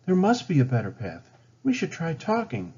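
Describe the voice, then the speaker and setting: A man's voice: on the high side, huge pitch swings, moderate pace, a little mellow, slightly rough, dull audio, voice pushed up, exaggerated voice. A man voicing an earnest young woman, a chief's daughter in a storybook, heartfelt and pleading. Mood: persuading.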